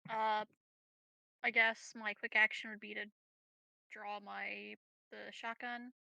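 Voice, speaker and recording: A woman's voice: medium pitch, level tone, slow pace, creaky, pushed and bright, no room sound, rough recording. A woman playing a tabletop roleplaying game, casually talking through her turn.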